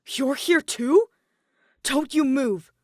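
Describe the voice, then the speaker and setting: A woman's voice: sits high, takes it slowly, a little mellow, no echo, clean studio sound, voice pushed up. A woman voicing a desperate, determined teenage boy in a story, with an intense, pleading manner. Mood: tense, commanding.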